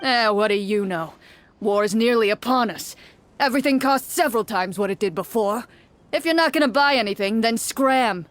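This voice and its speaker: A woman's voice: on the high side, moderate pace, lightly acted. A woman putting on a curt, scornful male merchant. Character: gruff, greedy, cynical male city merchant. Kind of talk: video game character acting.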